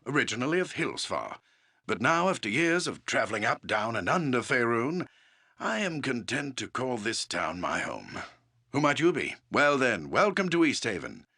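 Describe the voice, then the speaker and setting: Animated, gravelly seasoned adventurer. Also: male voice, medium pitch, heavily acted. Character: gruff, welcoming seasoned traveler, town local. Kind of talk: dramatic fantasy video game voice acting.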